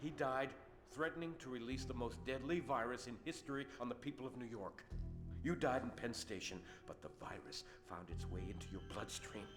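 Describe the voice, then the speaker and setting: A man's voice: medium pitch, brisk, music in the background, lightly acted. An actor playing a scheming man who taunts the hero with a secret he holds, in a game cutscene. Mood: taunting. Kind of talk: video game cutscene acting.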